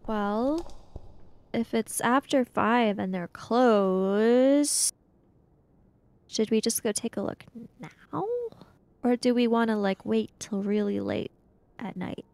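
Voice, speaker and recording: A woman's voice: a little high, slow, vocal fry, a little mellow, no echo. A woman playing a bookish, argumentative schoolgirl in an improvised tabletop adventure, wordy and pedantic. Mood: doubtful. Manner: pedantic, wordy, indignant when teased.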